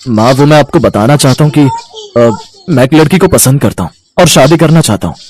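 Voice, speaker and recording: A man's voice: mid-range voice, lively intonation, brisk, a little mellow, natural voice. A man playing an earnest, respectful young adult son in a cartoon family story, explaining himself. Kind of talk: Hindi cartoon bedtime-story character acting.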